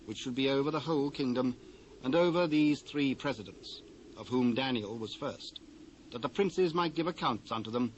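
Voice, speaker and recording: A man with a British accent narrating scripture from a script in a measured, formal manner. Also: medium pitch, rough recording. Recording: faint hiss, old cassette transfer.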